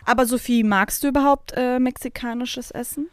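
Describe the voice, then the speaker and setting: A woman's voice: a little high, fairly even intonation, moderate pace, no room sound, studio quality. A woman chatting casually as a podcast co-host. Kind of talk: casual chat.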